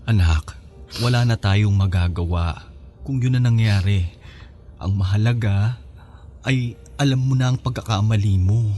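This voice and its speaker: Man acting a low-voiced, campy Filipino father. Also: unhurried, pauses often, warm tone, soft background music, close to an everyday voice. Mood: forgiving. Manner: campy, affectionate, consoling. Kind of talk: radio drama acting.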